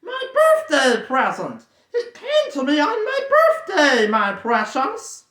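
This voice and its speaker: A reader voicing a wretched, greedy cave creature who hisses and whispers, jumping from shrill squeals to low mutters. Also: wildly swooping pitch, takes it slowly, fairly bright tone, full character voice. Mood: gloating. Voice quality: hissing, whispery, lisping plurals. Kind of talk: audiobook character voice. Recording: roomy echo.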